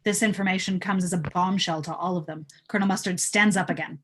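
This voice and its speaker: A woman's voice: fairly low pitch, steady tone, fast talker, a little hiss or hum. A woman narrating stage directions at a live table read of a film script.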